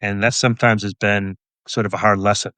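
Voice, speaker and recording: A man answering interview questions as a guest author, casual and reflective, in a thoughtful, measured manner. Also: lowish voice, little pitch movement, bright, forceful tone, no echo.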